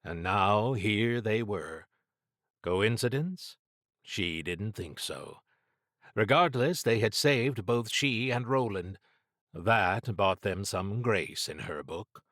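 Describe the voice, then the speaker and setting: A man reading scripted fiction as an audiobook narrator, with measured, deliberate, dramatic phrasing. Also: fairly low pitch, lively intonation, a bit bright, dry recording, studio quality.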